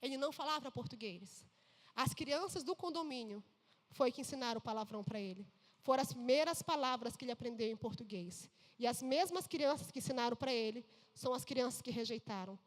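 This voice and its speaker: A woman voicing a soft-spoken, grateful immigrant mother in a sermon story, recorded in a reverberant church hall. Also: fairly high pitch, lively intonation, fairly quick, voice pushed down, lightly acted.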